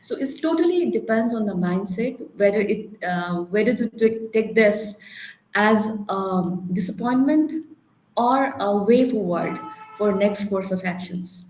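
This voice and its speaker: A woman with a Nepali accent speaks unscripted on a panel in a medium-pitched voice. She circles back to rephrase her points and often tags them with a check for agreement. The sound is clearly echoey. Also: lively intonation, unhurried, darkish tone. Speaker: panelist, HR professional and career counselor.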